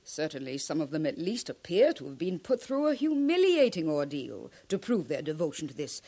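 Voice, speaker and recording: A man acting an earnest, puzzled translator of ancient glyphs in a 1950s radio drama, halting and deliberate. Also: on the high side, wildly swooping pitch, dark tone, heavily acted.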